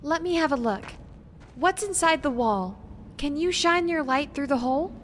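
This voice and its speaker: A woman's voice: sits high, animated, normal speed. A woman playing a careful, bookish young researcher in an audio drama, earnest and precise, hesitant when unsure. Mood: absorbed, curious. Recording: faint background noise.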